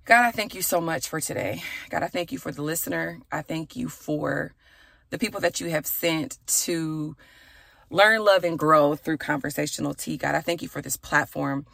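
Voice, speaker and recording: A woman in her thirties hosting a podcast from her car, chatty and raspy, saying a prayer for her listeners. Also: sits low, moderate pace, dry recording. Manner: chatty and warm, with "y'all" and "okay". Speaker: podcast host. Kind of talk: casual chat with prayer.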